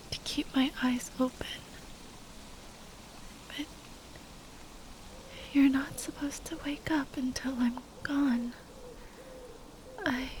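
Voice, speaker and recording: A woman playing a tender, grieving spellcaster lover in an ASMR roleplay, speaking in a breathy, halting whisper. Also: high-pitched. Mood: weak, drowsy, confused. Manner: halting, tender, sighing delivery.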